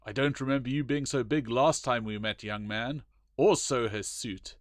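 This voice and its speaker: A man voicing a jovial, rotund old colonel with a hearty, booming manner. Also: normal speed, dry recording, clean studio sound, lightly acted.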